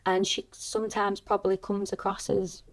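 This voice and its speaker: A woman's voice: mid-range voice, completely flat delivery, moderate pace. A woman, an actress, talking casually in a podcast conversation.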